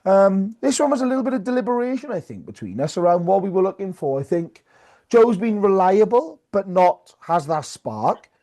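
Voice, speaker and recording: A man's voice: sits high, very animated, moderate pace, warm tone. A man co-hosting a sports podcast, chatting casually about rugby.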